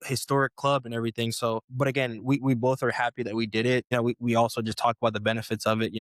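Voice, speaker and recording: Young man from the US, footballer guest, casual rambling chat, mid-pitched voice, dry close sound. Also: little pitch movement, fast, no echo. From United States. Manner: rambling, many restarts and fillers. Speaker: professional footballer, podcast guest.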